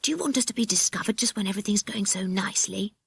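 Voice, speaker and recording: A woman voicing a bold boy who gives hushed or brisk orders to his friends in a storybook reading. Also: lowish voice, no echo, clean studio sound, close to an everyday voice. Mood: urgent whisper. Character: bold, bossy boy leader of child adventurers.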